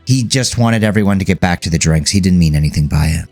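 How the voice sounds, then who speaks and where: A man's voice: sits low, fast, a little mellow, soft background music, close to an everyday voice. Man voicing a curt, dismissive tough woman. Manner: curt, brushing things off. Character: tough, dismissive woman, a strip club dancer.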